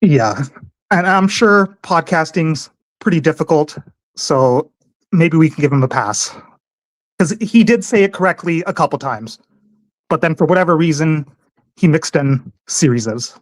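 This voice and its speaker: A male guest in casual chat, deadpan and precise, teasing dryly. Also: fairly high pitch, unhurried.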